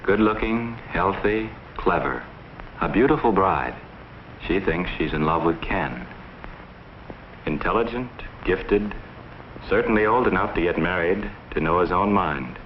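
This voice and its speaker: A man narrating a 1950s educational film in a measured, analytical manner from a script. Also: low-pitched, expressive. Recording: muffled 1950s film soundtrack, faint noise.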